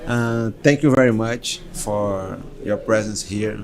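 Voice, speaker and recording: A man's voice: lowish voice, slow, crowd noise. Hesitant Brazilian-accented man asking questions. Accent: Brazilian Portuguese. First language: Portuguese. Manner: hesitant, repeats words, asks questions. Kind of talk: conference interview.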